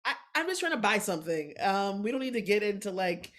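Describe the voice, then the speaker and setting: A woman of forty talks casually as a podcast guest, spinning anecdotes with animated energy. She slips into quick put-on voices when she quotes others. Her pitch sits in a middle range. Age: 40s. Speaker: comedian guest.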